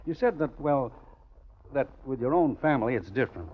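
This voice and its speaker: A man acts out a calculating gambler from a 1940s radio drama. He is smooth and deliberate, with a lively, swinging intonation and a middle-pitched voice. The old recording is dull and muffled. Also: lightly acted. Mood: calmly persuasive. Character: cool, calculating male gambler offering a sinister deal.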